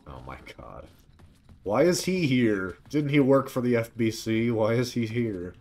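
A man's voice: medium pitch, very animated, a little slow, a little mellow. A man giving casual reaction commentary as he streams a video game. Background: video game ambience.

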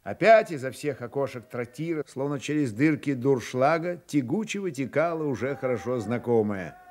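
A man narrating a literary radio drama with expressive, theatrical phrasing. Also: mid-range voice, normal speed.

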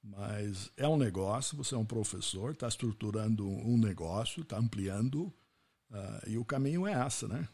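Husky host. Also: male voice, lowish voice, lively intonation, normal speed, a little mellow. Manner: reflective, anecdotal. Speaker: podcast host. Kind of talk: casual interview talk.

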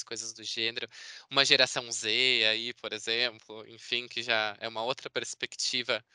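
Very bright-voiced Brazilian man presenting. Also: medium pitch, clear, resonant tone, dry recording. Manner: chatty, explanatory, often seeking confirmation with tag words. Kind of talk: conversational webinar presentation. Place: indoor room on a video call. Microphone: video call microphone.

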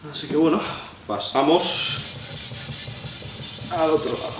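A man's voice: on the high side, slow, background noise. A man with a La Mancha Spanish accent, explaining step by step how to sharpen a blade while he works at the stone. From Albacete, Spain. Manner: explanatory, practical, thinking aloud while working. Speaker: knife sharpening instructor. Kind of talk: hands-on tutorial demonstration. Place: indoor room at a table, working with a sharpening stone. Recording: roomy, distant sound with scraping of blade on stone.

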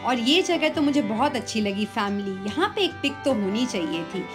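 A woman's voice: a little high, big pitch swings, normal speed. A middle-aged woman with an Indian accent chatting enthusiastically as a travel vlogger, switching between two languages. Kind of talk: casual vlog chat mixing Hindi and English. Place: outdoor city market street. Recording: music and bustling outdoor crowd behind.

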